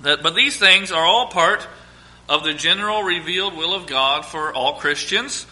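Hoarse male pastor with a cold, bright and slightly high voice, preaching scripture to a congregation. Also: animated, poor audio. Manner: reads scripture slowly and emphatically, asks congregation to quote verses. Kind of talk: sermon.

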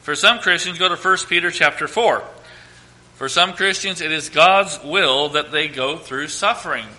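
Hoarse male pastor with a cold, bright and slightly high voice, preaching scripture to a congregation. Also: low-quality recording. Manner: reads scripture slowly and emphatically, asks congregation to quote verses. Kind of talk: sermon.

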